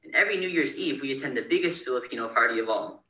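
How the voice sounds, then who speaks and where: Teen male student reading aloud earnestly; bright tone, echoey room. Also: medium pitch, animated, rapid-fire. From California, United States. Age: teens. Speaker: scholarship winner. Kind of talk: reading a prepared essay. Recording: bright, roomy, distant recording.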